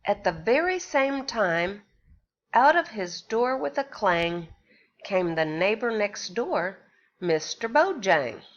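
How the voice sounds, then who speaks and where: Slow sing-song teacher reading aloud. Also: female voice, mid-range voice, very animated, dull audio. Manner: slow, sing-song storytelling with questions to the children. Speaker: pre-K teacher. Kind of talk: reading a picture book aloud to young children.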